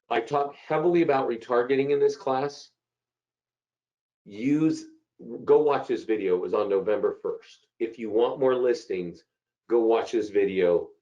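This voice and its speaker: A man with a hoarse voice from a cold, hosting a live software webinar and chatting through questions from viewers. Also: lowish voice. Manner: chatty, repeats himself, answers chat questions. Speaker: webinar host. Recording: dull lo-fi call audio.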